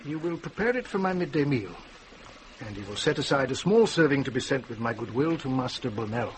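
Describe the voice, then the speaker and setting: A haughty monastery prior acted by a man from a radio play. His mid-pitched voice moves expressively, precise and self-important, over faint noise. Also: animated, brisk, close to an everyday voice. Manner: pompous, precise, commanding. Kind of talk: radio drama acting.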